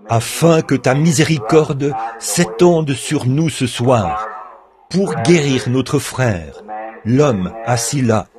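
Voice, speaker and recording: A man reads a translated sermon aloud at a middle pitch, measured and earnest in the manner of a preacher. His voice-over sits on top of the original congregation recording, whose crowd sounds come through clearly behind him. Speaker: French reader of a translated sermon.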